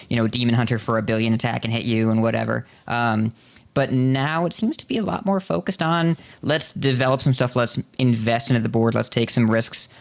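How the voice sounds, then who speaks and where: A man's voice: mid-range voice, gravelly. A man hosting a podcast, talking conversationally in wordy, thought-out phrases. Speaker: podcast host. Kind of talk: conversational interview.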